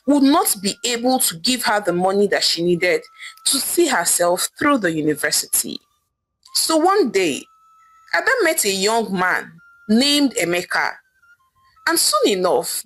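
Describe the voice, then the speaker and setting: A woman narrating a scripted folktale in a dramatic, expressive storytelling manner. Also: a little high, low-quality recording. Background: background music.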